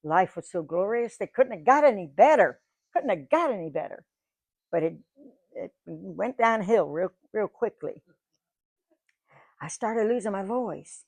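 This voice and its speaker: A woman's voice: mid-range voice, expressive, a little slow, vocal fry, long pauses, darkish tone, dry recording. Chatty storytelling woman in her nineties. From Georgia, USA. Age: 90s. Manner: folksy, chatty storyteller who acts out quoted dialogue. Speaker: guest speaker. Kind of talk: storytelling to a live audience. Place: museum event room.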